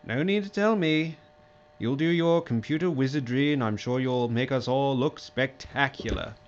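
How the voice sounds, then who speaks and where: An actor playing a pompous, self-absorbed boss in an audio drama, grandiose and breezily dismissive. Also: male voice, mid-range voice, moderate pace, dull audio, close to an everyday voice. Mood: smug, bossy.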